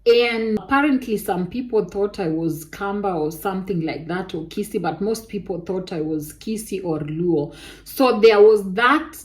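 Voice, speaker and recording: A young woman from Kenya with a soft voice, telling viewers a personal story in a casual, animated way. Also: mid-range voice, moderate pace. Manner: animated testimony with Kenyan interjections like "eh" and "kai".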